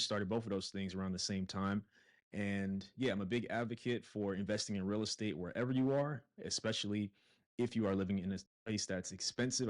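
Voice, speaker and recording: Brooklyn guy on a podcast, lowish voice, chatty with lots of uhs. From Brooklyn, New York. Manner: conversational storyteller, frequent fillers and restarts. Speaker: podcast guest, real estate investor. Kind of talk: casual interview chat.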